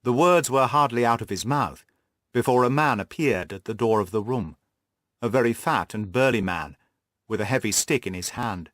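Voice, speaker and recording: A man's voice: mid-range voice, normal speed, fairly bright tone, no echo, clean studio sound. A man reading scripted literary narration as an audiobook narrator.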